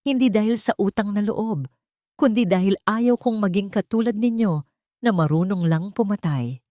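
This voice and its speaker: A woman with a Filipino accent voicing a wronged wife who is weary, calm and coldly resolute in an audiobook drama. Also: mid-range voice, no room sound, studio quality, pitched above the performer's own voice, close to an everyday voice. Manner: gentle, deliberate.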